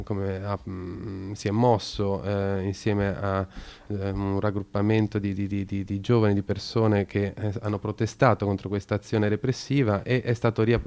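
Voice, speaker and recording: Radio host guy, lots of ums and pauses, low smooth voice, pretty flat. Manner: hesitant, full of pauses and filler sounds. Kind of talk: casual radio talk.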